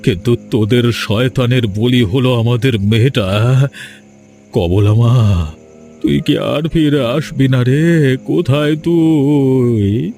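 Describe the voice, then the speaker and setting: A man's voice: mid-range voice, slow pace, warm tone, faint music behind. A man voicing a commanding village master in a Bengali-style cartoon drama, a grieving father bent on revenge, speaking melodramatically.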